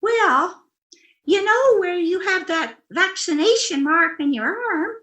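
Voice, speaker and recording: A ditzy, dreamy sitcom wife in a very high, girlish voice. She gushes innocently and takes her time, in old-time radio comedy style with a roomy echo. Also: expressive, slow, fairly bright tone, exaggerated voice. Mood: innocent gushing. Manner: naive, gushing, innocent delivery of absurd remarks. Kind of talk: re-enacted 1940s radio comedy acting. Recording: roomy, echoing voice recording.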